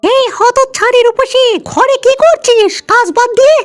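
Nasty scheming cartoon stepmother, extremely high shrill voice, bullying, light music behind. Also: moderate pace. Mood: bossy, scolding. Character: spiteful, bullying village stepmother, scheming and foul-mouthed. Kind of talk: cartoon fairy-tale dialogue.

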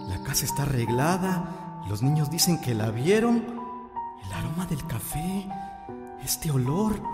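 A man's voice: mid-range voice, lightly acted. An actor playing a bewildered, grieving widowed father in an audio drama, with a Mexican accent and a plaintive, hesitant delivery.

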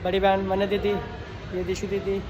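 A man's voice: high-pitched, completely flat delivery, a little fast. A young man chatting casually to viewers while filming a vlog on his phone, talking to them as guys and friends. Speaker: vlogger. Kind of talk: casual vlog narration to camera. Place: outdoors in town and in a busy restaurant. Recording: noisy phone vlog with music and crowd. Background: music and crowd chatter.

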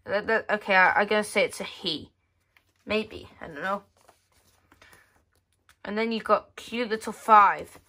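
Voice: medium pitch, measured pace, long pauses, sharp tone. A female speaker giving casual commentary on a magazine page by page, reading bits aloud, with hesitant, rephrased sentences. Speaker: host of a magazine flip-through video. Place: indoors at a tabletop.

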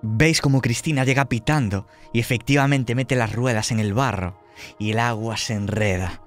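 Man, game master, medium pitch, dramatic suspenseful narration, tabletop horror game, faint music. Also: moderate pace.

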